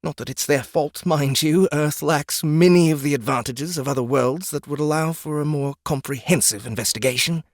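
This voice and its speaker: A man's voice: on the high side, moderate pace, hardly any pauses, no room sound, studio quality, lightly acted. A man voicing a pompous, lecturing lizard guide in a fantasy audiobook, condescending and theatrical. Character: pompous, exasperated ninety-one-year-old male lizard guide, youthful-looking. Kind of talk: audiobook character acting.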